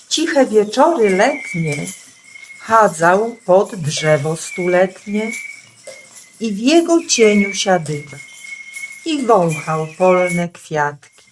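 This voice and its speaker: Very slow-speaking woman storyteller. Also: medium pitch, background noise. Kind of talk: rhymed children's storybook narration.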